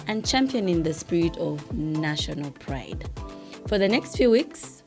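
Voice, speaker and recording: A woman's voice: lowish voice, darkish tone. A woman hosting a podcast, bubbly and upbeat, laughing often between her questions. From Zambia. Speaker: podcast host.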